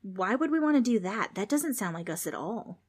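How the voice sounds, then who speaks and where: Young girl, sharp and unimpressed, voiced by a woman narrator; higher and brighter than the narration. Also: animated, moderate pace, slightly rough. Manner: blunt, matter-of-fact. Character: sharp-tongued young girl, blunt and practical.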